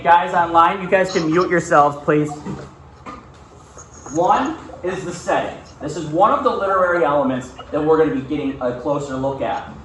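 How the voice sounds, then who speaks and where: A male school teacher giving a classroom lesson in an instructional way, often checking in with short questions. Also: on the high side. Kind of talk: classroom lesson with read-aloud. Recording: echoey room, far from mic, faint noise.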